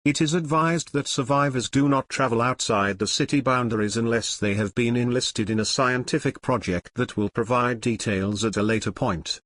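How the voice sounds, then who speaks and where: A man's voice: medium pitch, normal speed, few pauses, fairly bright tone. A man reading a formal, scripted emergency broadcast announcement.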